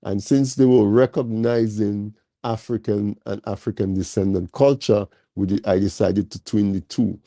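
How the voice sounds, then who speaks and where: A man's voice: lowish voice, fairly even intonation, no echo. Nasal man hosting a live talk show. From Caribbean. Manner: slow, warm welcoming address with many fillers. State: slightly nasal from a cold.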